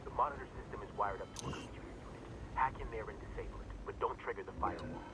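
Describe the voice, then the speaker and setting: An actor playing a brisk intelligence handler, giving businesslike, instructive briefings in a military video game. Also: male voice, on the low side, background noise, lightly acted.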